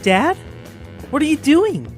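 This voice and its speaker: A man's voice: very high voice, big pitch swings, takes it slowly, big-room sound, soft background music, heavily acted. A man playing a confused, worried young hero in an audio drama, with an earnest, questioning manner. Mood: confused, alarmed. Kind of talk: hobby audio drama acting.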